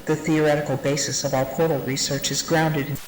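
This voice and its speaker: A narrator playing a research division instructor who delivers a classified briefing in a formal, deliberate, matter-of-fact way, as in an analog horror training tape. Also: mid-range voice, level tone, close to an everyday voice. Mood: formal, detached. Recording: roomy, noisy 1990s VHS-style tape sound.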